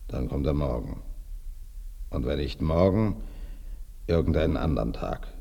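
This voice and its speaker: A grim, laconic man in a radio play. The voice is extremely deep and creaky, with a dark color and a terse, measured delivery. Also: lively intonation, dull audio, exaggerated voice. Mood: grim, resigned. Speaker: actor in radio drama.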